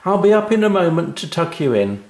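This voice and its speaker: A man's voice: fairly high pitch, big pitch swings, brisk, darkish tone, reverberant, voice pushed up. A man voicing a weary, exasperated mum in a children's story, pleading and scolding. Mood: calling out firmly.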